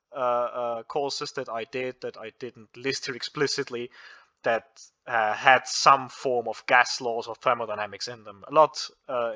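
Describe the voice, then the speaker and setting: Austrian man, bright earnest talker. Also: mid-range voice. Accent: Austrian German. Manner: earnest, many restarts and fillers. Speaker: physics student and channel host. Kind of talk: casual explanatory monologue.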